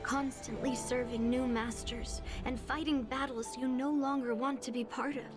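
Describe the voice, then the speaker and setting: A woman playing an earnest, stubborn but humbled young swordswoman in a video game, speaking in a measured way. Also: sits high, steady tone, over music, close to an everyday voice. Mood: resolute, gentle. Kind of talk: scripted game voice acting.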